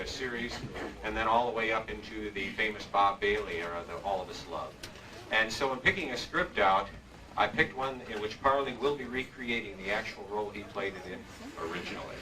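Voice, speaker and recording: A man informally addressing an audience as event host on a convention stage, recorded from a distance. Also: fairly low pitch. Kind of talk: informal spoken introduction to an audience. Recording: distant live room pickup, clear noise.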